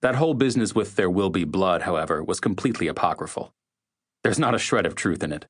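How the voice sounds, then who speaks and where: A man's voice: low-pitched, animated, fast. A man reading first-person oral history for an audiobook, with an opinionated, emphatic manner. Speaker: audiobook narrator. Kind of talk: first-person oral history testimony read as scripted narration.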